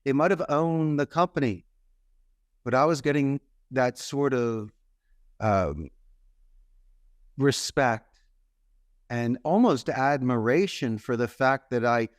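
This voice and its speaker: A man's voice: medium pitch, slow pace, pauses often, no room sound, studio quality. A man in his fifties with a Philadelphia accent, telling his story as a guest in an emphatic, speech-like way. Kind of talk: interview storytelling.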